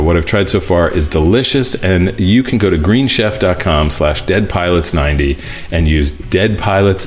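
A man hosting a podcast, chatting casually with many fillers and reading an ad in a relaxed way. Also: sits low. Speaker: podcast host. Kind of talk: casual podcast chat and host-read ad.

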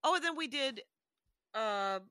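A woman with a bright, forceful tone and a somewhat high voice, chatting casually on a podcast. She rambles and restarts her sentences, laughing often. The sound is dry and close. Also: expressive, measured pace, clean tone, dry recording. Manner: rambling, self-interrupting storyteller with frequent laughs. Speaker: podcast co-host. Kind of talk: casual chat.

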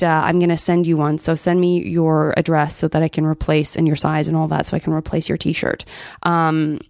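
A woman's voice: fairly low pitch, a little mellow, dry recording, studio quality. An American woman hosting a podcast, chatting casually and reading out messages in a rambling, effusive way with self-interruptions. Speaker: podcast host. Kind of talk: casual chat, reading out listener emails.

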